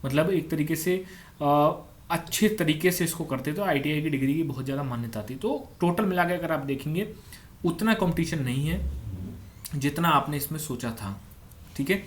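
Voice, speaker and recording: A man's voice: a little high, lively intonation, moderate pace. A man giving an informal explainer as an exam coach, conversational and repeating points for emphasis. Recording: noticeable background noise.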